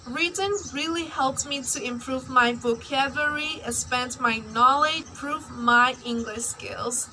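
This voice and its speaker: A woman's voice: high-pitched, bright, forceful tone, slight background noise, poor audio. A young woman whose first language is Burmese, chatting casually in a personal vlog with lots of "like" fillers. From Myanmar. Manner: chatty, uses many fillers like "like". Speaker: language-learning vlogger.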